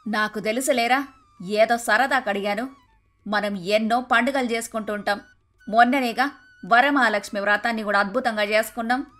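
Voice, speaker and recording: A woman playing a traditional, domineering and sharp-tongued older mother-in-law in a cartoon moral story. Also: fairly high pitch, fairly even intonation, moderate pace, close to an everyday voice. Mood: eager. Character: bossy, traditional, scolding older mother-in-law and household matriarch. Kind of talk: dramatised moral story dialogue. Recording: music behind the voice.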